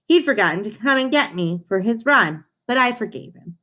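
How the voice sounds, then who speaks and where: A woman reading a children's storybook aloud in an expressive manner, addressing young listeners. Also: fairly high pitch, moderate pace.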